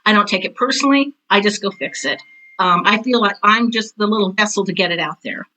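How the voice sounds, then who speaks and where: A woman's voice: medium pitch, brisk, bright, forceful tone, low-quality recording. An older woman talking casually as an interview guest, with a direct, intense and emphatic way of repeating phrases. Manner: self-described intense, direct. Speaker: guest, theater teacher and author.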